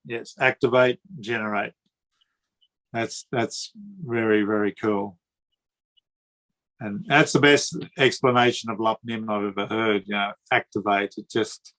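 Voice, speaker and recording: A man with a drawling Queensland Australian accent, hosting a relaxed interview in a friendly, chatty way and often repeating words. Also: medium pitch, a little slow, low-quality recording. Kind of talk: casual conversational interview.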